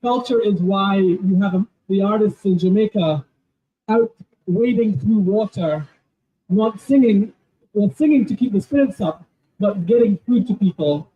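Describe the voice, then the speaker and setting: A man's voice: sits high, mellow, husky. Earnest man on a tinny line. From Kenya. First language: Gujarati. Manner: earnest, reflective, halting with restarts and fillers. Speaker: panelist. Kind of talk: panel discussion. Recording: tinny phone-like audio.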